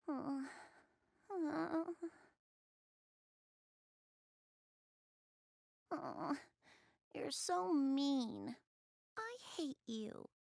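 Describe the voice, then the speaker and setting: Anime game role, a bashful, soft-spoken goddess girl. Lively intonation with touches of creak, hesitant delivery, dry close sound. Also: sits high, dry recording, heavily acted. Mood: sleepy, bashful, then pouty. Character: shy, flustered young goddess girl, soft-spoken, easily embarrassed. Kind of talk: anime video game voice acting, addressing the player.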